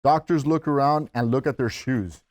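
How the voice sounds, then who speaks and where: A man's voice: mid-range voice, normal speed, a little mellow. A man reading scripted stage directions as narrator at a table read.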